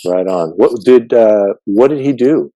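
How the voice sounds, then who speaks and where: A man's voice: lowish voice, a little slow, mellow, no room sound, rough recording. A man whose first language is Farsi, hosting a casual interview in a chatty, joking way with many fillers. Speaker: podcast host.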